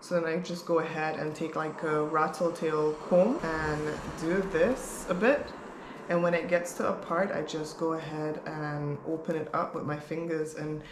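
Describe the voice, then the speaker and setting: Lower-pitched woman vlogger in an echoey room. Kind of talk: casual chat to camera. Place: bare-walled room at home, indoors. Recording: roomy echo, clear background noise.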